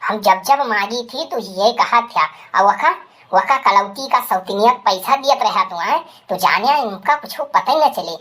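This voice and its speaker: A woman's voice: mid-range voice, animated, measured pace, fairly bright tone. A woman voicing a dramatic, scolding village woman with an Awadhi accent in a rural cartoon comedy. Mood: indignant, angry. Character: loud village women, scandalized gossips and an angry betrayed wife.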